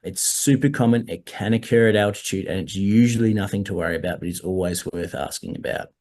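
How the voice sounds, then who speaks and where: A hoarse man, a doctor explaining altitude medical issues in a measured way during a webinar over a video call. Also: on the low side, a little mellow. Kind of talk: webinar presentation.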